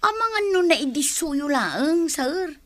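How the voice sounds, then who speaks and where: An actress with an Ilocano accent playing a nosy, deferential household maid in a radio drama. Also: female voice, sits high, sing-song, a little slow, a bit bright, no echo, full character voice. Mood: surprised, curious.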